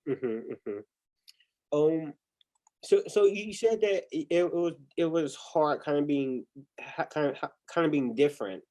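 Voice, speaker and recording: A man's voice: a little high, unhurried, darkish tone. A young man hosting a livestreamed interview on a video call, chatty, often repeating words as he restarts. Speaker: interview host.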